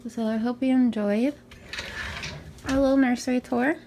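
A young woman with a raspy morning voice, chatting casually through a tour of her small bedroom, filmed on a handheld phone. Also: fairly high pitch, steady tone, moderate pace, mellow, a little hiss or hum. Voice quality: raspy from just waking up. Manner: chatty, upbeat, many ums and likes. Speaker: vlogger. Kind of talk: casual room tour vlog. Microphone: handheld phone camera.

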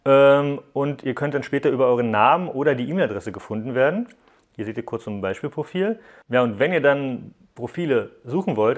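A young man giving a casual, conversational hands-on review to viewers, explaining things informally as he goes. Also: medium pitch. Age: young adult. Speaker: tech app reviewer. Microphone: lapel mic.

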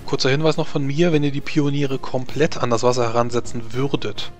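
Man, game master, measured explanations, hesitant. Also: mid-range voice, faint music behind. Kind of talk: explaining tabletop wargame rules.

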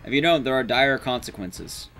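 A man's voice: mid-range voice, moderate pace, pushed and bright, faint music behind. A young man giving casual, wry gameplay commentary to his chat, informal and sweary. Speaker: streamer, speedrunner.

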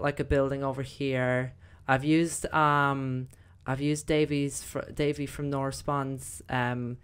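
A young woman with a slightly croaky voice, chatting casually through gameplay commentary with lots of fillers. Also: deep voice. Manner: chatty, rambling, many fillers. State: croaky, voice recovering. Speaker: gaming video host.

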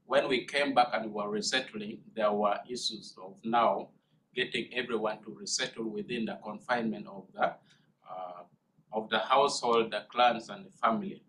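A young man from northern Uganda, presenting in a seminar room and pausing often with uh. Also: fairly low pitch, steady tone, bright, forceful tone, a little hiss or hum, poor audio. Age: young adult. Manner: measured, with many uh pauses. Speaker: guest speaker, student.

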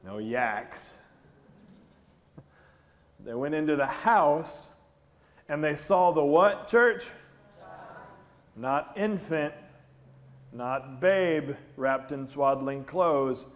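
A middle-aged American man preaching a conversational sermon as a pastor, telling the story in an animated way and putting questions to his congregation. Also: medium pitch. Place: large church stage, indoors.

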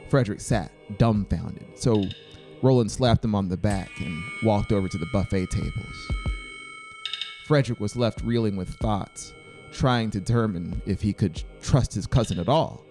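A man's voice: lowish voice, moderate pace, dark tone. A man in his thirties narrating a dramatic scripted story as an audiobook reader, close to the microphone. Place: small brick-walled indoor studio. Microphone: close handheld-style mic on a stand. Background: faint music.

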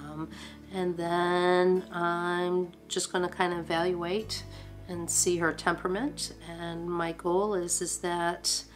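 A middle-aged woman dog rescuer, seated indoors, calmly explaining her plan with soft, halting speech and fillers. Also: fairly low pitch, soft background music.

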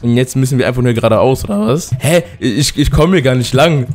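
A hoarse man with a cold, giving excited let's-play commentary and often breaking into loud outbursts. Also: medium pitch, a little fast, faint music behind. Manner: excitable, loud outbursts, keeps addressing viewers. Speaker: gaming YouTuber.

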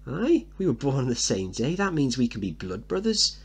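A man plays a working-class little boy in a dramatic play reading. He is boastful, suspicious and blunt, full of slang. The voice is pushed up high and swings about, with a husky edge. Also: sing-song, normal speed. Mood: cheeky, boastful. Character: scruffy seven-year-old working-class boy, cheeky, boastful, suspicious.

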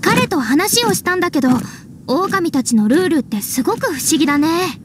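An actress playing an earnest, spirited young female knight in an anime game, brisk and frank. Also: very high voice, animated, noisy background.

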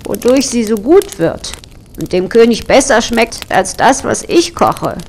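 A woman's voice: on the high side, lively intonation, unhurried, gravelly, slight background noise. A woman storyteller voicing a grumbling, accusing male castle cook in a fairy tale.

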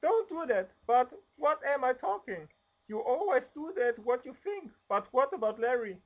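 A woman acts out a feisty young mother in an amateur gangland radio play. She is stubborn and urgent, scolding in a lively, swooping voice. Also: high voice, moderate pace. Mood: worried, scolding.

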